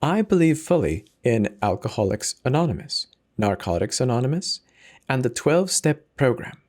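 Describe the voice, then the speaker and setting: A man's voice: mid-range voice, a little mellow. A man giving a dramatic scripted narration of a horror story. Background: music.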